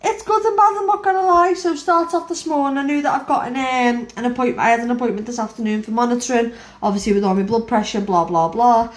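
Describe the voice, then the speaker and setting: A young woman and mother, casually rambling through her day to camera, frank and lively. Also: on the high side, fast, few pauses. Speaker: vlogger, mother. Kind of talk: casual chatty recap to camera. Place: home. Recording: echoey room.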